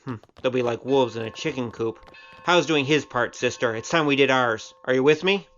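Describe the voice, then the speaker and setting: A man's voice: medium pitch, moderate pace, a bit bright, highs cut off, slight background noise, lightly acted. A man playing an easygoing, teasing gambler hero with a flippant manner in an audio drama. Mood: earnest, determined.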